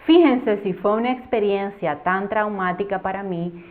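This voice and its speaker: A Cuban woman in her thirties, telling a childhood story with earnest, expressive delivery as a YouTube educator. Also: mid-range voice, normal speed. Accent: Cuban Spanish. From Cuba. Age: 30s. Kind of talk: storytime vlog. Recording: phone-like narrow band.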